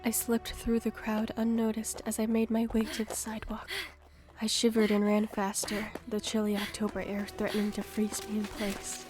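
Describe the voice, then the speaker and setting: A woman playing a young gifted fugitive who narrates her own story in a measured, earnest audio drama style. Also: mid-range voice, monotone, music in the background, natural voice. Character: young gifted female fugitive, wistful and plain-spoken. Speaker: narrator and lead. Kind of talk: scripted first-person audio drama narration.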